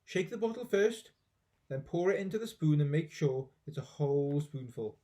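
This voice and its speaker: Man as nasty old granny, raised pitch, screeching, bossy, snappish, children's storybook. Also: animated, normal speed, close to an everyday voice. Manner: snappish, shouting, scolding.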